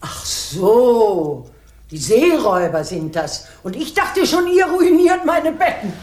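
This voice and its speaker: Fussy, scolding grandma. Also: female voice, a little high, big pitch swings, slow pace, reverberant, noisy background. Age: older. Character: fussy old grandmother, worried about her beds. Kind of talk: children's radio play acting.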